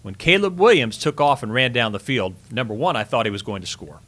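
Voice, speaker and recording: Middle-aged man telling sports stories, medium pitch. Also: very animated, a little fast, pushed and bright. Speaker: sports broadcaster, podcast guest. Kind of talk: casual storytelling interview.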